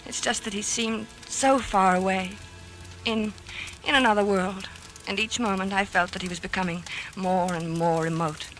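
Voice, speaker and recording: An actress playing a worried, pleading wife in an old-time radio mystery, with dramatic, earnest delivery. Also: female voice, mid-range voice, steady tone, normal speed, fairly bright tone, faint music behind, close to an everyday voice, poor audio. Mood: somber narration. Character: worried, pleading wife recalling her husband's strange death.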